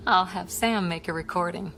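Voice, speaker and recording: A woman's voice: fairly low pitch, sharp tone, a little hiss or hum, heavily acted. An actress playing an affectionate, playful young woman space explorer in a video game cutscene.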